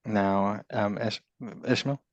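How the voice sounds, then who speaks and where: Male podcast host, low voice, close and dry. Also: steady tone, slow pace, no echo, muffled recording.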